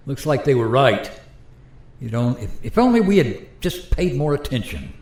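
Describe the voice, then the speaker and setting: A man's voice: medium pitch, very animated, normal speed, a little mellow. A man playing a rueful modern American in a radio play, speaking with earnest regret. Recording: roomy echo.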